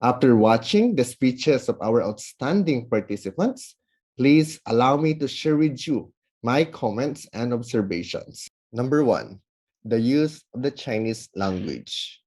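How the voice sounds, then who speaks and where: A man giving formal, measured feedback as a judge, working through numbered points. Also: mid-range voice, expressive, a little mellow, slightly rough. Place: online event recording.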